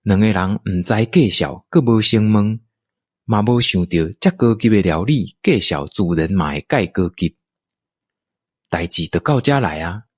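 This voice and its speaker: A man's voice: lowish voice, lively intonation, moderate pace, husky, no room sound, clean studio sound. A man with a Taiwanese accent narrating a scripted radio drama as a storyteller.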